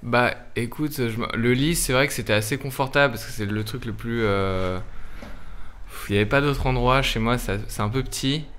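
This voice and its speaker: A man's voice: mid-range voice, moderate pace, a bit bright. A man chatting casually as a guest, hesitant, colloquial and a little self-deprecating. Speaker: guest, web series maker. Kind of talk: casual chat. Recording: faint background noise.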